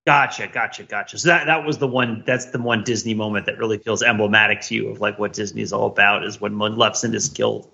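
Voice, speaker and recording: A man with a bright, punchy voice banters on a podcast and teases his friends with questions. The audio is a little dull and lo-fi. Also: fairly low pitch, steady tone, quick pace, vocal fry, hardly any pauses. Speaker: podcast regular. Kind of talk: casual banter.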